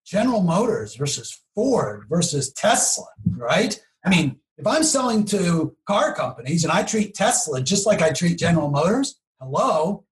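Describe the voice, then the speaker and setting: Man, fairly high pitch, conversational, explanatory, expert podcast guest, rhetorical check-ins. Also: sing-song, normal speed, hardly any pauses, rough recording. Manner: explanatory, punctuates points with "right?" and rhetorical questions.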